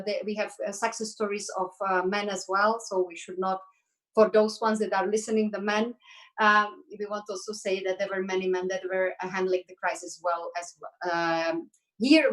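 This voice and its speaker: A woman's voice: medium pitch, fairly bright tone, low-quality recording. A woman from the Czech Republic, speaking as a guest on an online panel discussion in an earnest, hesitant way with many fillers. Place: Belgium.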